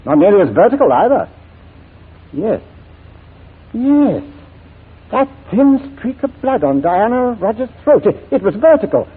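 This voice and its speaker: A blustering general from a 1940s radio play, hemming and easily rattled. His husky voice is lively, leaping up and down in pitch. The recording is thin and narrow, like a telephone. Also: measured pace, long pauses, full character voice. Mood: amazed. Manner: hemming, repetitive, flustered authority. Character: blustery, easily flustered male general, an official investigator. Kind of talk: 1940s radio drama acting.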